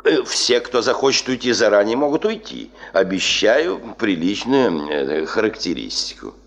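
An actor playing a stern, demanding chief of a geological administration, making a formal, deliberate speech in a 1970s Soviet radio play. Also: male voice, lowish voice, very animated. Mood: stern, unsparing.

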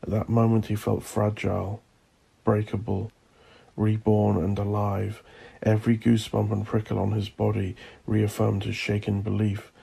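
A man narrating a horror story as an audiobook narrator, intense and theatrical, with a gravelly, husky voice. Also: lowish voice, monotone, moderate pace, dark tone. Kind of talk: dramatic scripted horror narration.

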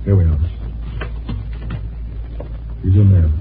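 An actor playing a grave, matter-of-fact hospital doctor in a 1950s radio drama, with clipped clinical phrasing. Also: male voice, deep voice, steady tone, slow. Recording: noisy, tinny 1950s radio recording.

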